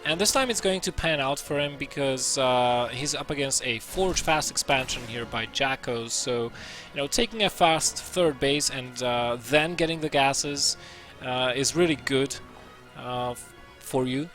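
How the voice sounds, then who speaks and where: A man from Slovakia casts a strategy game match in relaxed, chatty talk. He often stops and restarts his sentences with fillers, over a soft bed of faint music. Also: mid-range voice, a little slow. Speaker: esports caster. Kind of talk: casual game commentary.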